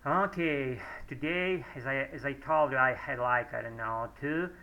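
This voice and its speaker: A Russian-speaking man talking in English at home, his voice very bright, sharp and tense. He rambles in a middle pitch, stopping often on fillers and restarting his sentences. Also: unhurried, rough recording. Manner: hesitant, many fillers and restarts. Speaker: English learner vlogger. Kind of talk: rambling monologue practicing spoken English. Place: home room, indoors.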